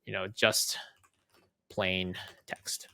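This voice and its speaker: A man casually walking through a software demo off the cuff, conversational, often checking in with tag questions and voicing little exchanges. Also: sits low, level tone, extremely slow, vocal fry, smooth, no room sound. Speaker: guest product leader giving a software demo. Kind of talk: casual off-the-cuff product walkthrough.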